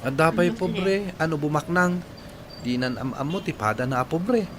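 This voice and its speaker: A man's voice: fairly high pitch, normal speed, noisy background, lightly acted. Man acting an earnest young village man. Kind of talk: Philippine radio drama acting.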